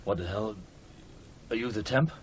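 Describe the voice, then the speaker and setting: An actor playing a flustered, put-upon editor, spluttering with exasperation in a radio drama. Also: male voice, on the low side, normal speed, natural voice. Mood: flustered, irritated. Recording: muffled, noisy old radio broadcast.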